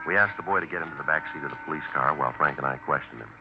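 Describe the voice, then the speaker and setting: A man's voice: a bass voice, racing. An actor with an American accent playing a dry, methodical police detective, narrating his case in clipped, matter-of-fact radio drama style. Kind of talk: 1940s-50s radio drama acting, clipped procedural narration. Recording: dull, noisy old radio recording.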